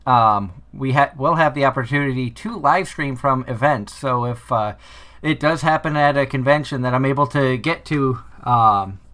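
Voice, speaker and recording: Bright-voiced Boston man hosting. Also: mid-range voice, normal speed. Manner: chatty, rambling with restarts. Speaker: podcast host. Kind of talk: casual chat.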